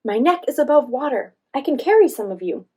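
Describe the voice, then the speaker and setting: A woman's voice: high voice, very animated, fairly quick, dark tone, breathy edge, pitched above the performer's own voice, full character voice. A woman voicing a grumpy but helpful long-necked female animal in a children's storybook.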